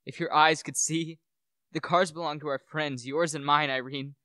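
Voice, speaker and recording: A man's voice: a little high, steady tone, sharp tone, dry recording. Teenage boy acting an intense, brooding widower. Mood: bitter, paranoid. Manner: intense, halting, melodramatic. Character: brooding, obsessed widower addressing his dead wife. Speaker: student actor. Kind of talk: radio play monologue.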